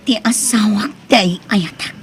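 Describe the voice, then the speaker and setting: A woman's voice: mid-range voice, unhurried, breathy edge. A woman playing an upset married younger woman in an Ilocano radio drama, speaking with an Ilocano accent. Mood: pleading.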